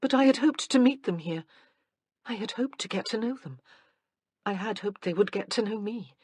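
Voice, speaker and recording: A woman's voice: medium pitch, moderate pace, no room sound, studio quality, voice pushed up, lightly acted. A woman voicing an earnest, dignified young woman teacher in an audiobook. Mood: anxious.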